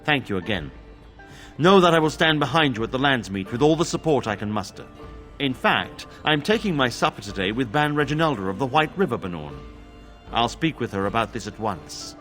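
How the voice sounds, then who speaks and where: A middle-aged fantasy game lord, a father both grateful and stern. His formal, solemn speech moves widely in pitch, with faint music underneath. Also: very animated, brisk, fairly bright tone. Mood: resolute. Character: grateful noble father, stern about vengeance. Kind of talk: dramatic fantasy game acting.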